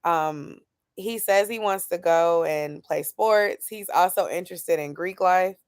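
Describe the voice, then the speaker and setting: A woman's voice: on the low side, unhurried, smooth, dry recording, studio quality. Candid woman in her late twenties. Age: 20s. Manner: candid, thinking aloud about plans, reads chat comments. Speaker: YouTuber doing a weekly check-in. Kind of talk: casual chat with a live chat.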